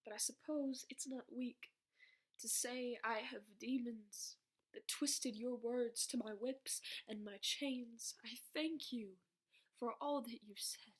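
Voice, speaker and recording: A young woman performing slam poetry in an emotional, vulnerable, rhythmic delivery that drops into hushed phrases. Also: fairly high pitch, fairly even intonation, slow, husky. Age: young adult. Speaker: slam poet.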